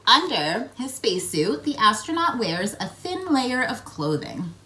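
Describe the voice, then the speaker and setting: Woman, children's read-aloud host, playful and very animated in pitch, with noticeable background noise. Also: measured pace. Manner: playful, expressive, prompting the listener with questions. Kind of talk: picture book read-aloud with questions to young listeners.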